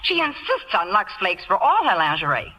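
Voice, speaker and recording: A woman's voice: medium pitch, huge pitch swings, normal speed, pushed and bright, rough recording. A woman from England appearing as an actress guest in a scripted 1950s radio interview and endorsement.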